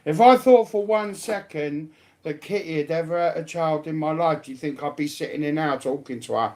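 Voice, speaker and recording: A man's voice: fairly high pitch, normal speed, a little mellow, slight background noise. A man speaking informally and without a script, arguing in a blunt, indignant and emphatic way. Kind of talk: unscripted, informal argument.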